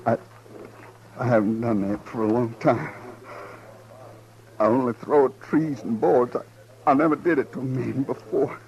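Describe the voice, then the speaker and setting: An actor playing a brave, plain townsman in an old radio western, talking in a folksy, halting way. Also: medium pitch, gravelly, a little mellow, highs cut off, slight background noise, close to an everyday voice. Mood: shaken. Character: plain, brave townsman volunteering to fight killers. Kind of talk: 1950s radio western acting.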